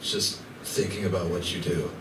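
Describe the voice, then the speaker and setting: A man's voice: sits low, level tone, moderate pace, recorded from a distance, lightly acted. A man playing a brash, sarcastic, hard-drinking space crewman in a live amateur radio play, recorded from afar in a noisy, echoey room. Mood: teasing. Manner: sarcastic, swaggering. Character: brash, hard-drinking, teasing male space-crew party animal. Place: large indoor room at a convention.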